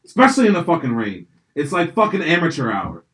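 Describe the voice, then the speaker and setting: Young male podcast host, lively swooping delivery, cusses freely, rambles through stories. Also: on the high side, fast. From Pennsylvania. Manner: profane, rambling, animated storytelling. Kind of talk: casual chat.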